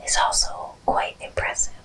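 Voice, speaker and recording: A woman host narrating educational animal facts in an ASMR style, whispering slowly and deliberately. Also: rough recording. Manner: whispers slowly and deliberately through most of the talk.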